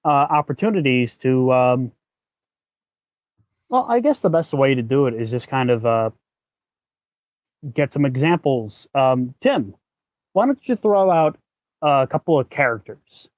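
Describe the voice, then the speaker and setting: A man hosting a podcast in casual, explanatory talk, often halting as he thinks aloud. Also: mid-range voice, animated, a little mellow, dry recording, lo-fi sound. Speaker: podcast host; working actor.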